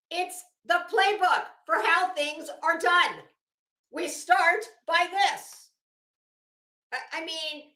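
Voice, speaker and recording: A woman with a bright, forceful voice delivers opinionated, off-the-cuff commentary. She speaks slowly and emphatically, doubling back on words with open exasperation and sarcasm. The sound is echoey, as if recorded in a bare room. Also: high voice. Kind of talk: opinionated legal commentary, unscripted. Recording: roomy, echoing room sound.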